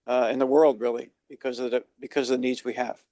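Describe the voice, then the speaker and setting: A man speaking on a conference panel, working through his opening remarks in a halting way with frequent restarts. His voice sits at a middle pitch and sounds close and dry. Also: flat delivery, warm tone, no room sound. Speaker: Army Corps of Engineers official on a panel. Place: conference forum.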